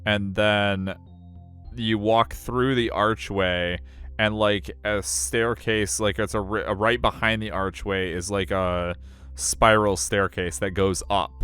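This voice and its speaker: A man chatting casually as a game streamer, halting often as he searches for words and leaning on filler words. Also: on the low side, a bit bright, clean tone. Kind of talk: casual chat. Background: music.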